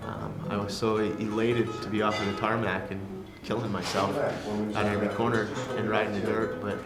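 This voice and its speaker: Tired guy, low flat voice, casual ride recap, echoey, loud guitar music. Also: brisk. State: weary. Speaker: motorcycle trip rider. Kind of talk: casual recounting to camera.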